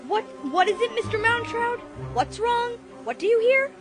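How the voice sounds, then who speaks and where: A woman playing an excitable, wide-eyed young boy on an adventure in a dramatized audiobook, full of exclamations and questions. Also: very high-pitched, normal speed. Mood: alarmed, excited. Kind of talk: dramatized audiobook radio theatre acting. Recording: loud music and crowd of other voices.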